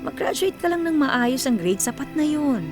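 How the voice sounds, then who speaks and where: A woman playing a caring, gently firm, hardworking widowed mother in a radio drama. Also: high voice, animated, normal speed, music in the background.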